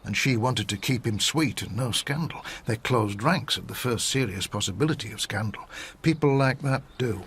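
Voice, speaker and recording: A man's voice: mid-range voice, normal speed, few pauses, fairly bright tone, lightly acted. Male actor as knowing, world-weary witness. Radio drama, clipped and matter-of-fact, mid register, faint noise. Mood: cynical.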